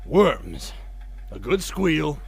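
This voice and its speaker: A man's voice: on the high side, big pitch swings, extremely slow, vocal fry, breathy edge, full character voice. An actor playing a crotchety, bossy old male mole who blusters and mutters in a comic audio drama. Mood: scornful, blustering.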